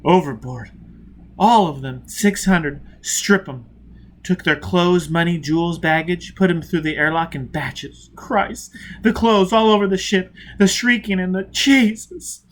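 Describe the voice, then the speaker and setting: A male reader as tormented crewman, raised pitch, loud, desperate pleading, broken gasping bursts, sci-fi audiobook drama. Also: a little slow. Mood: anguished confession. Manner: gasping, anguished exclamations in short broken bursts. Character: tortured, terrified spaceship crewman, begging and confessing.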